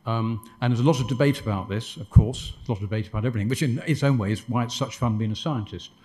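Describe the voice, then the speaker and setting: Man, mid-pitched, conference speaker, dry wit, rambling restarts. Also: fast talker, darkish tone. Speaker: guest lecturer answering questions. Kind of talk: conference Q&A answers.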